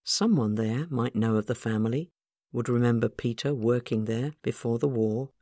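A woman reading literary fiction aloud as a scripted narration, in a measured, careful storytelling manner. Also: extremely low pitch, mellow, no room sound, studio quality. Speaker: author reading own short story.

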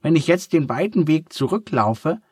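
A shy, clumsy little elephant boy speaks in a slightly raised, wavering voice, as a man puts him on. He hesitates and stammers, sounding gentle and a bit sorry for himself. The voice is husky and close, with no room around it. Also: a little high, animated, normal speed, dry recording, pitched above the performer's own voice. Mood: pleading. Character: timid clumsy young male elephant, gentle and sad.

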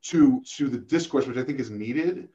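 A man with a gravelly voice, talking casually as a podcast guest, rambling with many restarts and self-deprecating asides. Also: mid-range voice, moderate pace, warm tone, highs cut off. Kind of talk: casual interview chat.

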